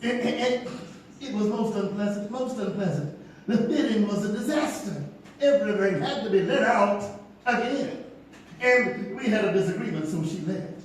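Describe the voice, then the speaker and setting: A man's voice: sits high, animated, normal speed, distant mic, slight background noise. A man playing a fussy, catty costume designer in a period stage mystery, haughty and affected, repeating phrases for emphasis. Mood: fussy, disdainful. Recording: echoey live theatre recording from afar.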